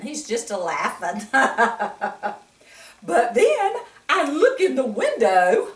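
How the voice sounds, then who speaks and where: A woman reading a picture book aloud to children in an animated way, often putting questions to the listener. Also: mid-range voice. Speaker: children's story reader. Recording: echoey room, distant mic.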